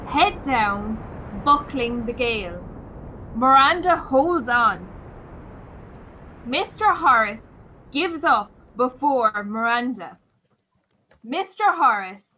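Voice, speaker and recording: A woman's voice: sits high, pushed and bright, slight background noise, poor audio. A woman reading scripted stage directions as the narrator of a radio play.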